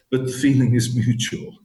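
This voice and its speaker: A man, an author and guest on a podcast interview, speaking thoughtfully with many halting restarts. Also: medium pitch, little pitch movement, a little mellow. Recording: echoey room.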